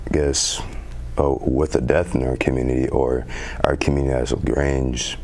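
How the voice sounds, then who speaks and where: A teenage young man, a youth council member, answering interview questions earnestly in a very deep voice with some halting fillers. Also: little pitch movement, darkish tone. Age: teens. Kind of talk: community TV interview.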